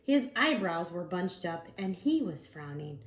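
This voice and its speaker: Slow, expressive woman reading to children. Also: on the low side. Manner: expressive, deliberate, addressing young children. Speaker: school instructional coach reading aloud. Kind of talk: children's storybook read-aloud. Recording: roomy, echoey sound.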